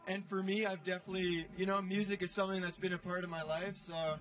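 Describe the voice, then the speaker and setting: A man's voice: high-pitched, level tone, fast talker, fairly bright tone. A young man chatting casually as an interview guest, laid-back and slangy. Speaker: guest, snowboarder. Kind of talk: casual chat. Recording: slightly distant from mic.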